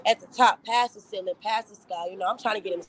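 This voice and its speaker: A young woman with a raspy voice and a Southern accent, talking about her music in a relaxed interview. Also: mid-range voice, fast. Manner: relaxed, filler-heavy, upbeat. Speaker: rapper, interview guest. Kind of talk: casual interview chat.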